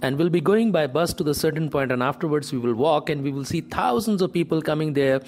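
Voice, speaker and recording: A man's voice: fairly high pitch, expressive, fairly quick, darkish tone, slight background noise. A man, a tour director explaining the day's plans on location. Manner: explanatory, slightly nonstandard grammar. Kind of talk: on-location conversation.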